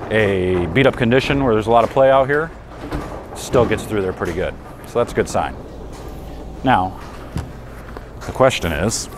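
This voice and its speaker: Deep voiced guy in his 40s doing a laid back review, noisy bowling alley behind him. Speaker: product reviewer and bowling coach. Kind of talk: casual unscripted review talk. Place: indoors in a pro shop and bowling alley. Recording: clear background noise of bowling alley.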